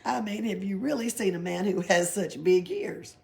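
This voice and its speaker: A woman's voice: mid-range voice, moderate pace, creaky, darkish tone, pitched above the performer's own voice, natural voice. A woman voicing an earnest, insistent young girl, easily annoyed, in a children's storybook read aloud. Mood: cross, amazed.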